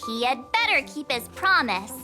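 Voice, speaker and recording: An actress playing a perky, excitable teenage girl adventurer in an anime-style video game, bubbly and expressive. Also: sits high, normal speed, heavily acted. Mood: confident, teasing. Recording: loud music bed.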